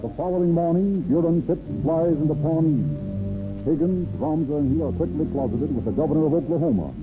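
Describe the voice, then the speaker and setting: A man's voice: fairly high pitch, brisk, faint music behind. A man delivering dramatic scripted narration as a 1930s radio announcer. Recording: tinny, hissy old radio recording.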